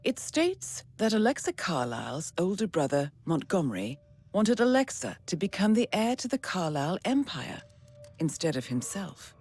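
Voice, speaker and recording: A composed female handler briefs an agent in a video game. Her delivery is formal and thoughtful, with animated intonation over clearly audible music. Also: on the low side, full character voice. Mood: musing, intrigued. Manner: measured, formal, musing. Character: composed, shrewd female handler advising an assassin. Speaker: mission handler. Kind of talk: scripted game briefing narration.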